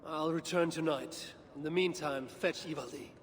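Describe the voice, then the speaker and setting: An actor playing a weathered, wary Norse god-warrior, gravelly, grave and measured, in a dramatic video game cutscene. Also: male voice, fairly high pitch, slight background noise, lightly acted. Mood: commanding.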